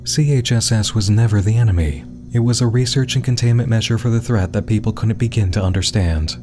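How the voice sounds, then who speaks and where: Low-pitched man narrating over music. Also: a little fast. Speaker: narrator and host of a web series explainer. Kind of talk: scripted narration.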